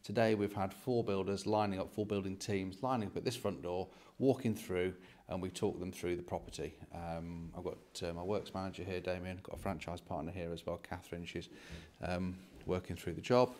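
A man's voice: deep voice, little pitch movement, brisk, hardly any pauses, darkish tone. A British man in his forties explaining things casually to camera as a property vlog host, recorded on a handheld phone indoors in an empty house. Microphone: handheld phone at arm's length.

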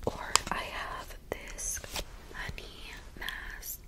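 A woman in her twenties whispering softly and soothingly up close in an intimate ASMR pampering roleplay, recorded in a bedroom. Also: very slow. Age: 20s. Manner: soft, soothing, intimate, caring friend pampering the listener. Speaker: ASMR creator. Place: bedroom, indoors. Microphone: close-up, in front of camera.

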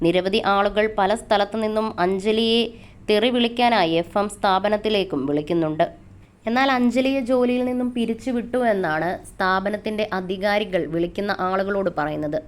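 A woman's voice: mid-range voice, clean tone. A woman narrating a scripted entertainment news report.

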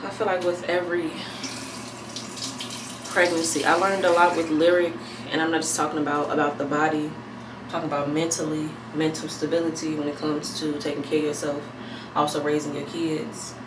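A pregnant woman in her thirties chatting casually to camera at home, thinking aloud in a relaxed, rambling way. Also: sits low, brisk. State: heavily pregnant. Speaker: vlogger. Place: small bathroom at home, indoors. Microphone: camera mic a few feet away. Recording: echoey room, distant mic, air conditioner hum.

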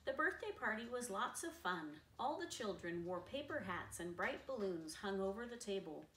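A middle-aged woman reads a picture book to children with expressive delivery. Her voice is medium in pitch. It is picked up from across a roomy living room, with faint noise in the recording. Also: normal speed. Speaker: read-aloud storyteller. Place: living room, seated on a sofa. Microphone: camera a few metres away. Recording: roomy, distant camera mic, faint noise.

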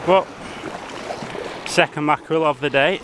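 Young male angler, casual excitable commentary, action-camera audio outdoors with heavy surf and wind noise. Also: fairly high pitch, a little slow. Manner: excitable, laughing, chatty while reeling in fish. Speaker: angler filming his own fishing trip. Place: outdoors on rocky sea shore by waves. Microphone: head-mounted action camera.

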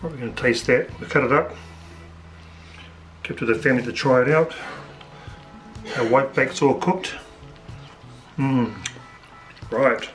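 A man in his forties with a New Zealand accent, a little hoarse, chatting casually as he cooks and tastes food in his home kitchen. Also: medium pitch, unhurried, pauses often, soft background music, rough recording. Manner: relaxed, chatty, thinking aloud with fillers. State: slightly hoarse, losing his voice. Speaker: home cook vlogger. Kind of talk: casual cooking commentary.

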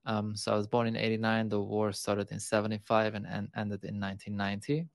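A man's voice: fairly low pitch, monotone, fairly quick, vocal fry, no room sound. Earnest man from Lebanon, dry close mic. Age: 30s. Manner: reflective, earnest, with many fillers. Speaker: guest, animal rights activist. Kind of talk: remote interview, personal storytelling. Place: small home room. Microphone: studio microphone on boom, close.

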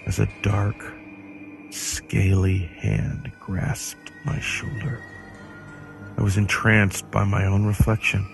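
A man narrating a creepy horror story in a dramatic, ominous manner with deliberate pauses. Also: on the low side, gravelly.

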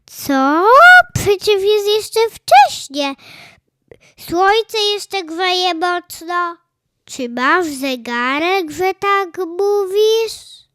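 A woman's voice: very high voice, extremely slow, no room sound, studio quality, voice pushed up, full character voice. A woman voicing a curious, polite little boy squirrel in a children's story, asking things in an innocent way. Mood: puzzled, earnest. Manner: innocent, questioning.

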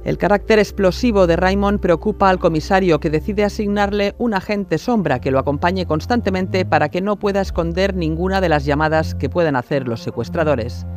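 Male narrator, high voice, scripted true-crime documentary. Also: fairly quick, few pauses, darkish tone, soft background music.

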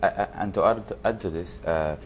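A man's voice: low voice, brisk, a little hiss or hum. A man, explaining things as a guest in a studio interview and repeating words as he goes. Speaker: basketball league official, interview guest. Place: TV studio set, indoors. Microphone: lapel.

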